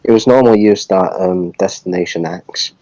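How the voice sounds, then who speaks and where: Low, mellow man on a dull mic. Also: moderate pace. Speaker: podcast co-host. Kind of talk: casual wrestling podcast chat.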